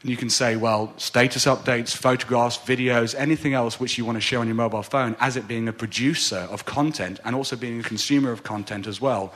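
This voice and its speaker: A man's voice: mid-range voice, fairly even intonation, brisk, hardly any pauses, fairly bright tone. A man speaking as a panelist at a conference discussion, explaining earnestly with many restarts.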